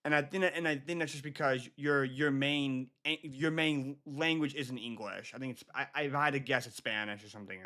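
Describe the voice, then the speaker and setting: An American man from Michigan chatting casually to his livestream viewers, halting and often repeating himself. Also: medium pitch, steady tone, bright, forceful tone. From Michigan, United States. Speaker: livestream host.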